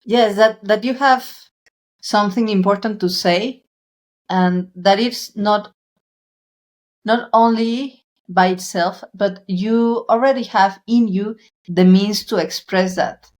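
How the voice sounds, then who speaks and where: A woman hosting a podcast interview, speaking in a measured, soft-spoken way. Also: mid-range voice, fairly even intonation. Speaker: podcast host.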